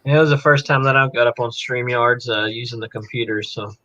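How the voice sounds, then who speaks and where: A man's voice: medium pitch, fairly even intonation, brisk, bright, forceful tone, dry recording. Male guest, casual, dull close-miked call audio. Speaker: guest artist on the stream. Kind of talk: casual chat. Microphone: cheap computer on a video call.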